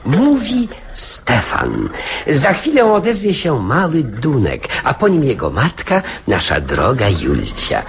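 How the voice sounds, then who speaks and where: An actor playing an excitable, charming spendthrift uncle in a radio drama, coaxing and theatrical. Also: male voice, medium pitch, slow pace, slight background noise, exaggerated voice. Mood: solemn, announcing. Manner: theatrical, coaxing, hesitant lead-ins.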